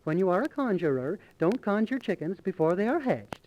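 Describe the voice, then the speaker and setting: A man's voice: high voice, very animated, a little fast, noisy background. A man playing a gushing, playful lady who teaches parlour tricks in an affected singsong, in 1930s radio comedy. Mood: playful, mock-instructive.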